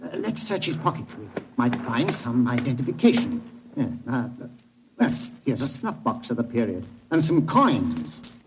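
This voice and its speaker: An actor playing a hesitant but enthusiastic antiquarian explorer in an old-time radio drama. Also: male voice, mid-range voice, big pitch swings, normal speed, natural voice. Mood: excited. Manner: hesitant, enthusiastic, repeats words. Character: eager, fussy English antiquarian cave explorer. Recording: old radio recording, faint noise.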